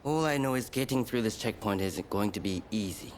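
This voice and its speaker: A man's voice: fairly low pitch, expressive, fairly quick, a little hiss or hum, natural voice. A man playing an easygoing, confident samurai ally in a video game cutscene, wry and self-assured. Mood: wry camaraderie.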